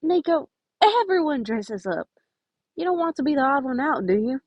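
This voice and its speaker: A woman's voice: sits high, sing-song, normal speed, a little mellow, no room sound, dull audio, voice pushed up. A woman voicing an anxious, friendly teenage boy in a storybook reading, with a hesitant, chatty manner. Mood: friendly, curious.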